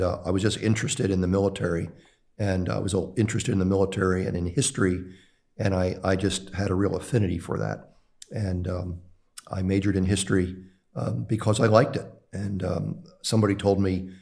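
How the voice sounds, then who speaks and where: A man's voice: sits low, vocal fry, darkish tone. An older man from the Philadelphia area, a national security expert, reminiscing as an interview guest with frequent ums and uhs. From Philadelphia area, Pennsylvania. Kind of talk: relaxed conversational interview.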